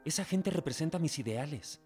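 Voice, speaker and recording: An actor playing an earnest young lawyer and idealist in love, in a radio drama with music underneath. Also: male voice, on the high side, expressive, a little fast, a little mellow, lightly acted. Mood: earnest, tender.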